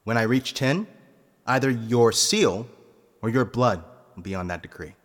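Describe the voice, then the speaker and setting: A man playing a vengeful, cold nobleman in a fantasy audio drama, making deliberate, eloquent threats. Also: mid-range voice, lightly acted. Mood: stern. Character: vengeful, menacing nobleman posing as castle phantom. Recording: long hall reverb.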